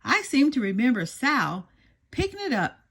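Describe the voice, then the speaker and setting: A woman's voice: high-pitched, big pitch swings, normal speed, fairly bright tone, husky, exaggerated voice. A woman voicing a folksy, chatty diner woman in a children's storybook.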